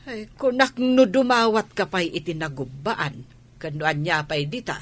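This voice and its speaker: A woman's voice: lowish voice, moderate pace, close to an everyday voice. A woman playing a worried, protective mother, pleading and reproachful, in a radio drama. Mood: firm, defensive.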